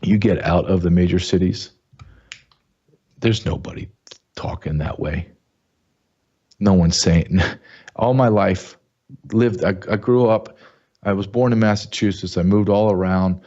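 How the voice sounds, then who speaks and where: A man's voice: low-pitched, measured pace, pauses often, warm tone, highs cut off. A man hosting his own talk show, speaking emphatically and often restarting and repeating words. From American South. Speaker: show host. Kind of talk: casual commentary monologue.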